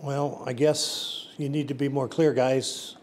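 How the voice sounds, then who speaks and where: A man's voice: medium pitch, level tone, takes it slowly, a little mellow, a little hiss or hum. Blunt older man lecturing a board. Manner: blunt, lecturing, trips over words. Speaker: election precinct chief giving public comment. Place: large school board meeting room. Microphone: seated at a desk mic.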